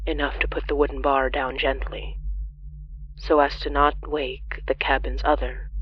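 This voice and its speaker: Horror fiction narrator, scripted storytelling, dramatic and deliberate, slightly muffled sound. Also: medium pitch, flat delivery, a little hiss or hum.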